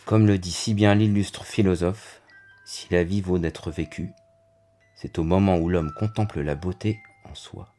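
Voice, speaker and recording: A man's voice: low-pitched, steady tone, unhurried, warm tone, soft background music. A man playing a wounded, tender-hearted Resistance fighter in a French audio drama. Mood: tender, sorrowful. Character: wounded male Resistance fighter, confused, tender, grieving friend.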